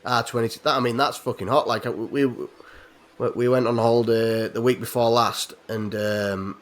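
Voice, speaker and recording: A man's voice: mid-range voice, steady tone, moderate pace. Sweary English man in his late thirties. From England. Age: 30s. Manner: sweary, rambling, self-mocking. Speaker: podcast host. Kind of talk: casual chat.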